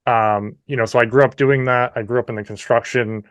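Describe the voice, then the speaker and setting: Canadian guy, 30s, interview guest, easygoing storyteller, medium pitch. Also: fairly even intonation, fairly quick, no room sound. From New Brunswick, Canada. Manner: friendly, chatty, frequent "you know" asides.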